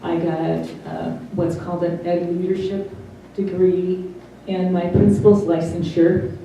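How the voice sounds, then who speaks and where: A middle-aged woman giving a personal testimony, speaking slowly and haltingly with carefully over-articulated consonants. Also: fairly low pitch, little pitch movement, creaky, mellow, dull audio. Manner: slow and halting, many pauses. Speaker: interviewee. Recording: roomy, distant mic, faint hiss.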